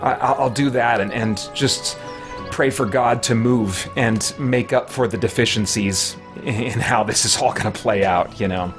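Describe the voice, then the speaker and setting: A man in his thirties or forties chatting casually on a game livestream, thinking aloud in a halting way. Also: medium pitch, over music. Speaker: video game live streamer. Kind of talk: casual livestream chat while gaming. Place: small indoor room with shelves.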